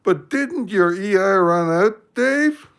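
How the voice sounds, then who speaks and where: Dim canadian drinking buddy in a radio play, higher voice w lots of fry, swingy pitch, whiny. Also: male voice, animated, fairly bright tone, full character voice. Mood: plaintive, puzzled. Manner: slow-witted, plaintive, ungrammatical. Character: Big Joe, dim, hungover, good-natured big drinking buddy. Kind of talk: audio play acting.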